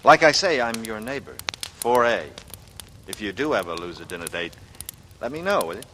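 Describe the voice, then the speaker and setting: An actor playing a brooding, calculating American writer in a 1940s radio noir drama, with measured, intense delivery. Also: male voice, lowish voice, lively intonation, sharp tone, slight background noise. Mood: flirting. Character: brooding, calculating male writer with a smooth charm. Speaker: lead actor and narrator. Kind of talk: 1940s radio noir acting, first-person narration. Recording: vintage radio drama, music underneath. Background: dramatic music.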